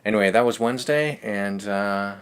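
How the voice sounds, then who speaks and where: A man's voice: fairly low pitch, moderate pace, slight background noise. A man hosting a read-aloud show, reading and chatting in an informal, easygoing way. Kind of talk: casual story reading with chatty asides.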